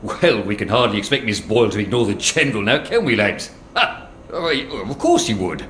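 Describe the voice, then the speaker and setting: A man's voice: fairly low pitch, big pitch swings, a little fast, a bit bright. A man with a British accent playing a blustering, easily flustered policeman in a video game. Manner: stammering, blustery. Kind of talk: video game character acting. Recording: roomy echo with background noise.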